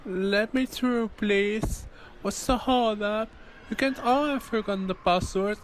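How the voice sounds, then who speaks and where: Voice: medium pitch, unhurried, a little hiss or hum, close to an everyday voice, rough recording. A performer voicing a self-important, officious teenage schoolboy prefect in a fan audio drama. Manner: pompous, officious.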